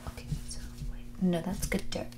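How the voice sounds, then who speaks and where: A woman playing a mean, condescending rich young woman on a first date in a whispered, soft-spoken ASMR roleplay, with a bored drawl. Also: moderate pace, close to an everyday voice. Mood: conspiratorial, smug. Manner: condescending, drawling, bored, valley-girl filler "like".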